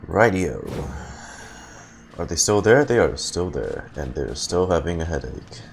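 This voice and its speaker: A man's voice: low-pitched, big pitch swings, measured pace, gravelly, slight background noise. A man streaming a game with casual, laid-back, teasing reaction commentary.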